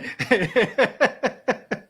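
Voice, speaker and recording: A man speaking as host of a casual interview show, in a halting, repetitive and encouraging way. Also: extremely high pitch, a bit bright, husky. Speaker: host, doctor interviewing his patient. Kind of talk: casual online show chat.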